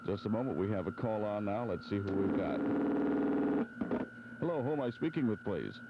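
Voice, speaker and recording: A noisy, dull old AM aircheck with hum carries an American male DJ. His voice is fairly low and swings widely in pitch as he runs a phone-in giveaway, repeating details with care. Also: expressive. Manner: repeats and spells things out deliberately. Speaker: radio DJ. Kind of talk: 1970s pop radio DJ patter, album giveaway and phone-in.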